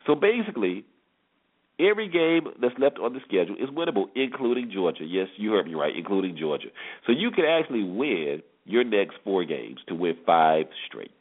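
Deep, animated American sportscaster. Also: male voice. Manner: emphatic, repeats phrases for effect. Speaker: sports show host. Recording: dull, lo-fi band-limited sound.

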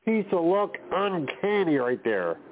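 A man's voice: sits high, sing-song, a little slow, a little hiss or hum. Man hosting over a tinny line. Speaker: podcast host. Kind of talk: casual chat, interviewing.

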